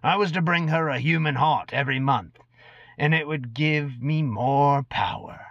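A man's voice: on the high side, a little slow, voice pushed up. A man voicing an ancient, gaunt, cursed goblin, a brooding and unrepentant former killer, in a dramatic reading of a fantasy tale. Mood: grim, boastful. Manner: brooding storyteller recounting dark deeds. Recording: dull lo-fi recording in echoey room.

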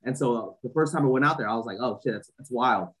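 A man's voice: mid-range voice, quick pace, fairly bright tone, breathy edge, rough recording. A man in his forties, raised in Southern California, talking loosely as a podcast guest with lots of fillers and restarts. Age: 40s. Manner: rambling, many fillers and restarts. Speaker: podcast guest, fashion entrepreneur. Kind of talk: casual interview chat.

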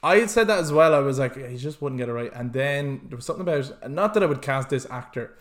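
A man co-hosting a podcast, chatting casually with animated, sweary rants and acted-out reactions. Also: medium pitch, quick pace. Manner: animated, sweary ranting, acts out imagined reactions. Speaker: podcast co-host. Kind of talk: casual chat about films and games.

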